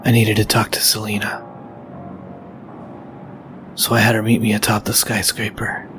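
A man playing a brooding, grim masked vigilante in an audio drama, speaking and narrating in a terse, deliberate way. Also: fairly low pitch, monotone, background noise, close to an everyday voice. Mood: stern. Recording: loud music behind the voice.

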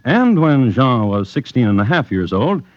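A man narrating true crime as a radio host in a deliberate, dry, wry storytelling style from mid-century radio. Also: medium pitch, big pitch swings, no echo. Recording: muffled vintage radio, music behind.

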